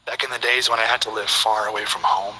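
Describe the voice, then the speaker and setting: A man voicing a calm, polite male gym owner who speaks softly and half-whispers in a video game. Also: fairly low pitch, a little hiss or hum, low-quality recording. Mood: gentle. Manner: soft-spoken, measured, half-whispered.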